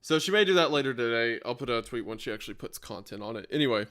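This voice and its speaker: Male livestream host, mid-pitched voice, casual and rambling, thinks aloud while multitasking. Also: lively intonation, very fast, fairly bright tone, clear, resonant tone. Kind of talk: casual unscripted livestream chat.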